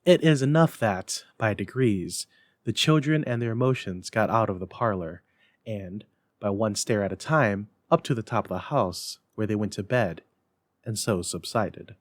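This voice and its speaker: A man narrating a classic story as a dramatic reader, with expressive, emphatic delivery. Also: fairly low pitch, normal speed, no room sound, clean studio sound. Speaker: library staff story reader.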